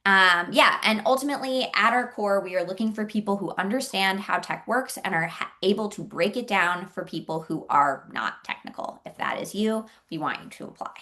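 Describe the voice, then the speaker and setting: A woman's voice: medium pitch, lively intonation, moderate pace, few pauses, pushed and bright. A woman presenting on an informational call in an informal, explanatory way, conversational and a little hesitant in her phrasing. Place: online group call.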